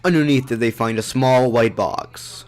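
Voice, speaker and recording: A man's voice: medium pitch, normal speed, a little mellow. A man narrating a scripted audio drama.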